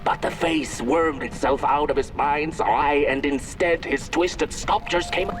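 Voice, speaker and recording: A man playing a solemn storyteller, narrating a dark myth for a video game in a literary manner. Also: a little high, a little slow, noisy background, close to an everyday voice. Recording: distant voice under music. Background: music.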